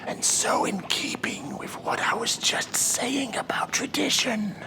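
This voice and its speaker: Man acting a creaky, whispering ancient demon judge. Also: very high voice, animated, unhurried, exaggerated voice. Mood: delighted, proud. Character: ancient weary male demon judge of hell, exasperated, proud of tradition. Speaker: performer of a horror role-play. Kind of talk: ASMR role-play, whispered dramatic monologue.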